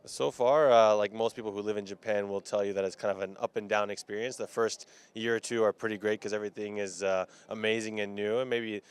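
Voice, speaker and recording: American male interviewee, clean resonant voice on the low side, conversational and hesitant. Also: steady tone, clear, resonant tone. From Florida, United States. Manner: hesitant, halting phrasing. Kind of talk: casual interview answers.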